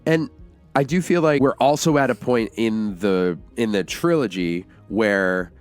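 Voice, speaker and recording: A man co-hosting a casual film review podcast, talking like an excitable fan with plenty of slang. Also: on the low side, a little slow, faint music behind. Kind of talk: casual enthusiastic film discussion.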